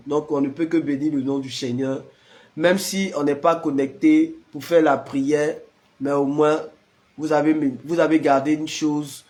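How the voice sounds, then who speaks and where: A man's voice: on the high side, darkish tone. A man with the flu, a prayer leader chatting casually with live-stream viewers and greeting them by name. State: has the flu.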